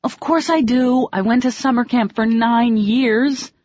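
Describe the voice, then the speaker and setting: A woman reading an audiobook, voicing a quick-tempered young woman who snaps back sharply. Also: lively intonation, no room sound. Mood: furious. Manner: shrill when angry, sharp retorts. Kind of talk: audiobook dialogue. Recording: dull lo-fi dry audio.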